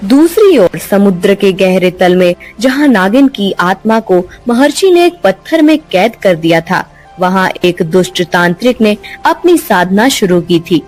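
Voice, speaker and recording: A woman's voice: medium pitch, measured pace, soft background music. Woman narrating a cartoon tale. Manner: steady storytelling. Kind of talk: scripted story narration.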